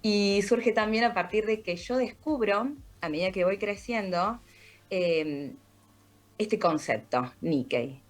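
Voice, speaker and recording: A woman from Argentina with a Rioplatense accent, calmly explaining her story as an interview guest and often tagging sentences with "¿no?". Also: mid-range voice, slow. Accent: Rioplatense Spanish. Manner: reflective, explanatory, frequent "¿no?" tags. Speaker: podcast creator, guest.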